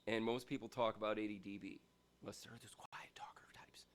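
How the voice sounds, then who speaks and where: A man with a big, loud voice giving a rambling, emphatic guitar review from his home, swinging between loud bursts and quieter asides. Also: on the low side, fairly even intonation, a little fast. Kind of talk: casual ranting gear review.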